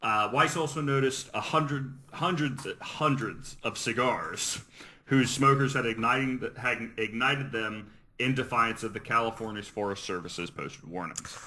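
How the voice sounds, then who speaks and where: A man's voice: medium pitch, measured pace, sharp tone. A man hosting a podcast, earnestly explaining researched material and sometimes stumbling over his words. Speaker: podcast host. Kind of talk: reading researched notes, explanatory podcast talk.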